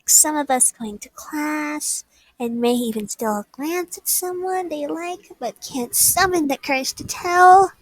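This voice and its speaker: A dreamy teenage magical schoolgirl, acted by a woman for a comic dub. High and girlish, recorded close and dry, with a wistful, gentle manner. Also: a little slow, no room sound, low-quality recording. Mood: dreamy, playful. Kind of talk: comic fandub voice acting.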